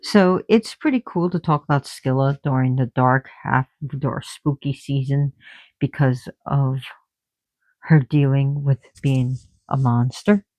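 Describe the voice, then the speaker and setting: A woman giving a casual spiritual talk about myth and oracle cards, halting often and restarting as she searches for words. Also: very deep voice, darkish tone, no room sound, poor audio. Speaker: oracle card reader.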